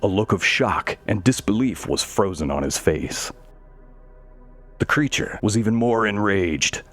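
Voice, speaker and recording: A man tells a horror tale in a very low voice. His delivery is measured and dramatic, with each line read as scripted prose. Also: expressive, a bit bright, faint music behind. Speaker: storyteller. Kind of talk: scripted horror story narration.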